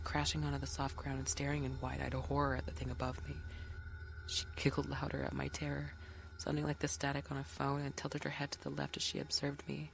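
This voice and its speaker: A narrator tells a first-person horror story in a measured, dramatic way. The voice sits at a medium pitch with a lot of creak, thinning to breath as phrases end. Music plays clearly behind it. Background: background music.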